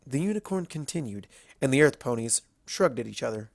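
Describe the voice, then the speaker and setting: A man's voice: mid-range voice, lively intonation, brisk, gravelly, no echo, studio quality. A man reading scripted fiction aloud as the narrator of a story.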